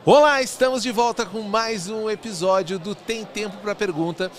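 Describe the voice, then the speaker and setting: A man's voice: sits high, normal speed, a bit bright. A man from southern Brazil, hosting a podcast interview in a chatty, enthusiastic way with rambling questions. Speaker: podcast host. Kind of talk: casual interview chat.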